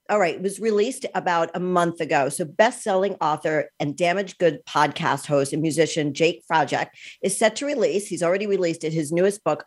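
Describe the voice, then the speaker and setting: Low-voiced, chatty woman host in her sixties. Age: 60s. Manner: chatty, enthusiastic, uses ums. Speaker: podcast host. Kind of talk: podcast interview.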